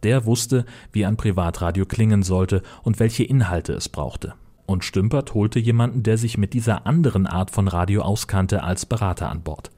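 A man's voice: fairly low pitch, moderate pace, mellow. A man narrating a scripted report as a radio reporter.

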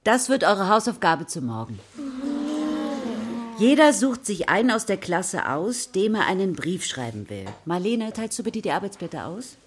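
A woman's voice: medium pitch, big pitch swings, normal speed, slight background noise, lightly acted. A woman playing a patient, encouraging primary school teacher in a children's radio drama, prompting her pupils with questions. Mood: patient, instructive. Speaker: actor in a children's radio play. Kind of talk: natural radio drama dialogue.

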